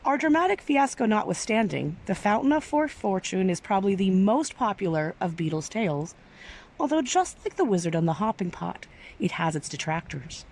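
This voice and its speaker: A woman's voice: medium pitch, sing-song, normal speed, a little mellow. A woman reading a story aloud expressively, with careful, theatrical phrasing. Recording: clearly noisy background.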